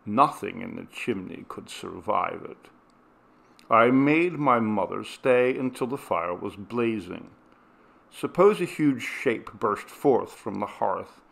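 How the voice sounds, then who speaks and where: Slow, gravelly male storyteller. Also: on the low side. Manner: measured, hushed storytelling. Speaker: audiobook narrator. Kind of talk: scripted narration of a ghost story.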